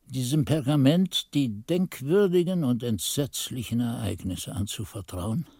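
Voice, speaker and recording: An actor playing an aged, frail monk who solemnly recounts his youth in a radio drama, husky and measured, with phrases falling away to a hush. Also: medium pitch, no room sound, clean studio sound, full character voice. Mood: weary, solemn. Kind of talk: radio drama narration, archaic literary chronicle.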